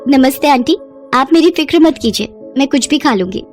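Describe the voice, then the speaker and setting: A young, high-pitched college girl from a poor home, voiced by a woman. She is modest, polite and wide-eyed, in a children's cartoon-story manner with music behind her. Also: lively intonation, a little fast.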